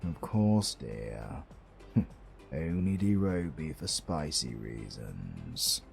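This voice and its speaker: A man's voice: rumbling low, very animated, extremely slow, gravelly, soft background music, exaggerated voice. A British man playing a retired supervillain husband in a romantic comedy roleplay, smug, doting and flirty, with theatrical teasing. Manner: theatrical, teasing, cooing endearments.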